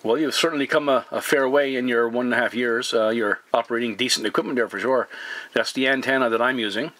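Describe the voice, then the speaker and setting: Guy on cb radio, bright crisp-edged voice, polite and kinda formal, medium pitch. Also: steady tone, fast, a little hiss or hum. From Newfoundland, Canada. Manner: courteous, formal radio phrasing. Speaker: CB radio operator making a contact video. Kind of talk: two-way radio exchange with call signs.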